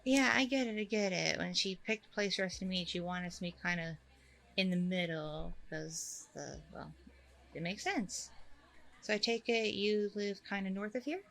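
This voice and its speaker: A woman's voice: mid-range voice, normal speed, gravelly, a bit bright. A woman playing a chatty, apologetic blind date in an ASMR audio roleplay, rambling along casually and a little hesitantly. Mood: friendly, chatty.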